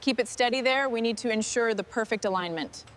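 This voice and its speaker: A woman supervising a truck restoration, giving crisp, businesslike commands. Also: a little high, fairly quick, slight background noise. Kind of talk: scripted workshop dialogue.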